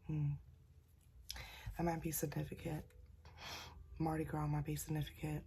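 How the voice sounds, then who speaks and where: A woman sharing a casual spiritual message, talking softly because she is a little sick, in a husky, near-whispered voice and a folksy manner. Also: low voice, completely flat delivery, normal speed, slight background noise. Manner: folksy, calls listeners y'all and child. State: slightly sick, keeping voice soft. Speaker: spiritual channeler.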